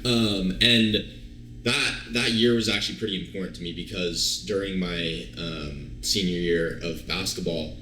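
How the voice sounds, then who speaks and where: Deep-voiced young man with a bright edge. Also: unhurried, a little hiss or hum. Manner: relaxed, rambling, many fillers and restarts. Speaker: guest, online fitness coach. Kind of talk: casual storytelling interview. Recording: roomy echo.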